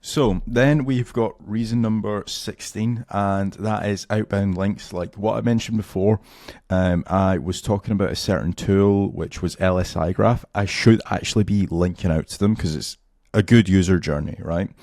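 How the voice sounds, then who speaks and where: A low, mellow-toned man walks through a screen-share tutorial in a loose, chatty way, leaning on "um" and "right?". His voice is a little hoarse from losing it. The sound is dry and close. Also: steady tone, moderate pace, dry recording. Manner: loose, filler-heavy, keeps checking in with "right?". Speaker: SEO tutor. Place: at home.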